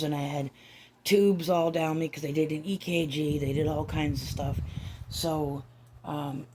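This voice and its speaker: A woman chatting softly in a home vlog, her voice raspy and hoarse from a raw throat, halting with many ums and restarts. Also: low-pitched, noisy background. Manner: soft, hushed, near-whispering. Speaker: doll-collecting vlogger. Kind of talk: casual vlog chat.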